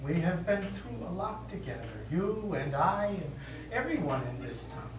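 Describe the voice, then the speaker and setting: In a roomy, slightly noisy stage recording, a gentle parish priest played by a male actor speaks from a distance. He is measured and formal, offering sympathy as a consoling clergyman in a live play. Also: high-pitched, very animated. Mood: careful, apologetic. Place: small indoor theatre studio, recorded from audience distance.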